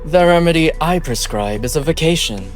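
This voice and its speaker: A man playing a smooth-talking, scheming corporate businessman with a theatrical, coaxing manner in an amateur game dub. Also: on the high side, lively intonation, normal speed, natural voice. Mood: insinuating. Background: background music.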